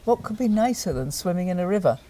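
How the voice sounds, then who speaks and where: An older woman, a hotel owner, talks in a measured, chatty way with frequent hesitations and restarts while showing a guest around. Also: on the low side, animated, warm tone. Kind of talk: casual tour and interview. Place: outdoors and indoors at a country hotel. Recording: faint background noise.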